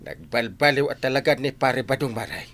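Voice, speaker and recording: A man acts out a gossipy village captain in a comedy radio play. The voice is mid-pitched and leaps about wildly, full of exclamations and interjections. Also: expressive, normal speed, a bit bright. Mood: sympathetic exclaiming. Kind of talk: Ilocano comedy radio drama acting.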